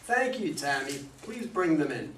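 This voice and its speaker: Guy acting as authoritative helpful consultant, lively delivery, echoey room reading. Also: fairly high pitch, unhurried. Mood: courteous, brisk. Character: professional male consultant with air of authority, reassuring. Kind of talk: amateur staged play reading. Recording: echoey room, distant mic, faint noise.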